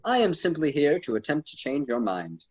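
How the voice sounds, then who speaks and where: A man's voice: a little high, very animated, moderate pace, a little mellow. A man playing a courteous, lofty ancient poet and guide in a radio drama, speaking formally and theatrically. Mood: amused, admonishing.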